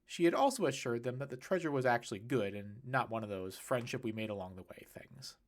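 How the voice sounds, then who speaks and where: A man reading fiction aloud as an audiobook narrator, in an expressive scripted style. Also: medium pitch, fairly quick.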